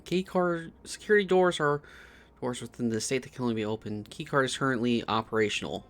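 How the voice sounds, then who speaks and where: A man's voice: medium pitch, fast. A man giving casual playthrough commentary as a gaming streamer, sometimes reading on-screen text aloud. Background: game music.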